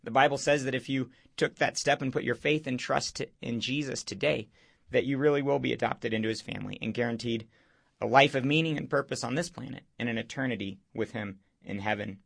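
A male radio show host, hoarse this morning, speaking in an earnest, preaching way. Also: mid-range voice, brisk, fairly bright tone, dry recording, studio quality. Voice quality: gravelly. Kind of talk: evangelistic radio talk.

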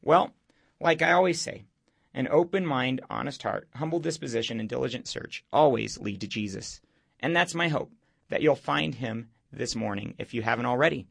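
A male radio show host, hoarse this morning, speaking in an earnest, preaching way. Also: medium pitch, moderate pace, a bit bright, no echo, clean studio sound. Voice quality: gravelly. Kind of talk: evangelistic radio talk.

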